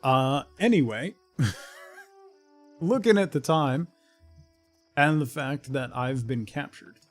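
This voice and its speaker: A man's voice: mid-range voice, no echo, clean studio sound. A middle-aged man casually chatting on a game livestream in a rambling, joking, mock-scolding way. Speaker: game streamer. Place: indoors at home. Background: faint music.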